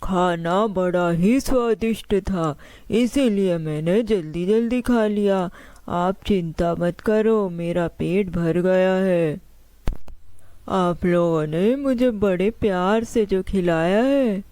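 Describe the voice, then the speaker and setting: A man voicing a friendly, polite, hungry elephant with a smooth, mellow voice in a children's cartoon. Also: high voice, slow, poor audio. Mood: grateful. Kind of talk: children's cartoon story voice acting.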